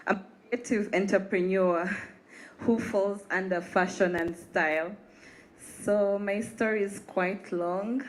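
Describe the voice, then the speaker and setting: A woman's voice: mid-range voice, steady tone, takes it slowly, poor audio. A woman from Uganda introducing herself and her fashion business at a live event, telling her story in a friendly way. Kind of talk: casual onstage talk.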